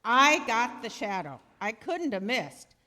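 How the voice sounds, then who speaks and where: A tough, defiant gangster played by a woman in a 1930s-style radio play. The voice is fairly high and blustering, full of streetwise slang. It rings in a large, reverberant hall. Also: sing-song, slow, pushed and bright, heavily acted. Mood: brash, dismissive. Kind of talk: recreated 1930s radio drama acting. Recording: reverberant hall stage recording.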